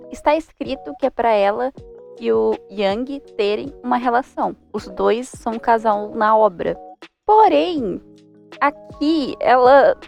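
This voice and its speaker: A young woman with a Brazilian accent giving a casual, informal and expressive review commentary. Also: a little high, vocal fry. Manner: informal, expressive, exclaiming in mock disbelief. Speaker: manhwa reviewer. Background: faint music.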